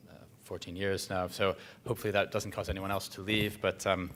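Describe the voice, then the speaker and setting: A man's voice: low voice, little pitch movement, fairly quick, a bit bright. Hesitant man giving a conference talk. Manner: hesitant, many fillers and restarts. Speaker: economic consultant presenting at a conference. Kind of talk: conference presentation. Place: conference hall.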